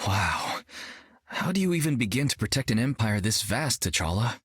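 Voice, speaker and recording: Low-voiced, easygoing hero. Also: male voice, very animated, moderate pace, fairly bright tone, husky, no echo, studio quality, exaggerated voice. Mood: curious, easygoing. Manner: casual, questioning. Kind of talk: video game character voice acting.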